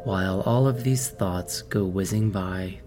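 Slow, low-voiced man guiding meditation over music. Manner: calm, measured, instructing in short phrases with pauses. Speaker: guided meditation host. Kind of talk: guided meditation narration. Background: music playing behind the voice.

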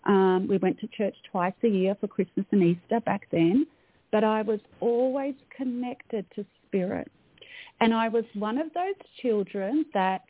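A woman's voice: mid-range voice, husky, dry recording. Australian female coach, podcast guest, dry narrow-band audio, earnest tone. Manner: earnest, reflective, frequent "um" fillers. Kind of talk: podcast interview conversation. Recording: tinny phone-like line, dry.

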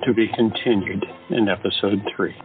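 Low-voiced male drama narrator. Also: fairly even intonation. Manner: measured storytelling. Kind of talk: scripted narration. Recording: phone-like lo-fi. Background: music.

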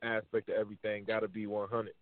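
A man's voice: fairly low pitch, little pitch movement, fast, no room sound. American man, tinny phone-like sound. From United States. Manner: earnest advice-giving, slangy. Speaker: interview guest, rapper. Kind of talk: casual interview chat.

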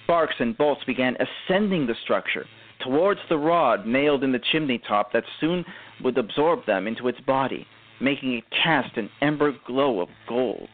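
A man giving dramatic scripted narration for an amateur radio play, halting and repeating phrases after stumbles. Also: medium pitch, lively intonation. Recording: tinny, narrow phone-like sound.

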